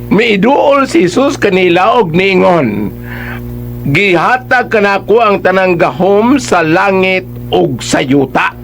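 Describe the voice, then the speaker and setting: A man's voice: high-pitched, animated, slow pace, vocal fry, fairly bright tone, slight background noise. A man with a Cebuano accent giving conversational, emphatic advice as a radio host.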